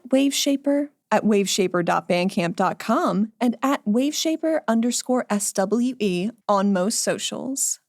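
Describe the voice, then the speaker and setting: A woman's voice: medium pitch, expressive, a little slow, hardly any pauses, dry recording, clean studio sound. A woman hosting a podcast, giving credits and thanks to listeners. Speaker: podcast host.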